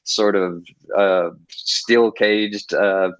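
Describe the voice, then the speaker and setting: A man's voice: fairly low pitch, steady tone, very slow, clear, resonant tone, no room sound. A man from Texas, an author talking casually in an interview, rambling with many restarts and fillers. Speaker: author guest. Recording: dull lo-fi, dry.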